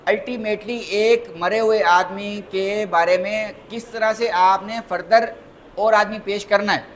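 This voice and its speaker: Male lecturer, Pakistani accent, high-pitched, didactic and repetitive with rhetorical questions, faint background noise. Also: steady tone, unhurried, a bit bright. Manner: didactic, repeats points and asks rhetorical questions. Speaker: lawyer giving a lecture. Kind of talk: interactive law lecture mixing in English terms.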